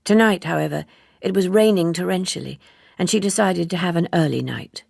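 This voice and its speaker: A woman narrating a literary audiobook in a measured, expressive storytelling manner. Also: on the low side, vocal fry, breathy edge, no room sound, studio quality.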